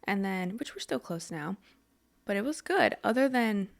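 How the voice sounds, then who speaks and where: A woman's voice: mid-range voice, lively intonation, moderate pace, gravelly. A young woman from Pennsylvania, a guest on a podcast, talking candidly and laughing often. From Lebanon, Pennsylvania. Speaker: guest, business owner. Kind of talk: casual interview chat. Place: podcast studio.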